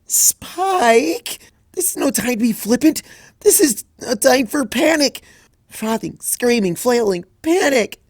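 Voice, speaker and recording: A man voicing a frazzled, bookish young female pony scholar who fusses and quickly flies into a panic. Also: expressive, no echo, clean studio sound, heavily acted. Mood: panicked. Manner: high-strung, rushes and fusses, flies into panic.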